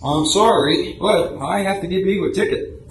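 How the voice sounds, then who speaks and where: A man's voice: high-pitched, normal speed, close to an everyday voice. A man acting a patient, apologetic but unbending police patrolman in an amateur radio drama reading. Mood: firm, apologetic. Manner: patient, matter-of-fact explaining, apologetic. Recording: echoey room, clear background noise.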